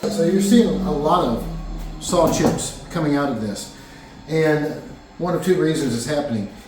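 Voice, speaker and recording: A man's voice: on the high side, recorded from a distance, music in the background. Man talking in an echoey workshop. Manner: rambling, halting with fillers and restarts. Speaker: woodworking vlogger. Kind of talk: casual workshop narration. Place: home workshop.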